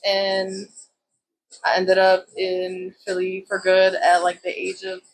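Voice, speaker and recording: A woman's voice: medium pitch, completely flat delivery, takes it slowly, slight background noise, low-quality recording. A woman in her thirties from Puerto Rico, a salon owner telling her story in a loose, chatty interview style with plenty of fillers.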